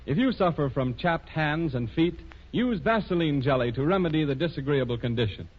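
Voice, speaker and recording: A man working as a 1930s radio announcer, reading scripted sponsor copy in a formal, measured way. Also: a little high, expressive. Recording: muffled 1930s radio recording.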